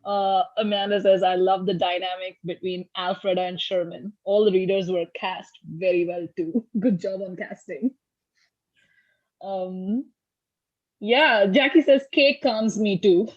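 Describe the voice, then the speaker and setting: A woman's voice: mid-range voice, steady tone, unhurried, lo-fi sound. A woman hosting a casual feedback chat, giggly and enthusiastic as she relays comments, on video-call audio. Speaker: host reading out audience comments.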